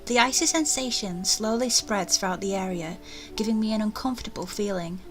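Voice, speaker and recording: A woman reading a visual novel aloud as its player, with expressive narration and casual asides. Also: mid-range voice, brisk, soft background music.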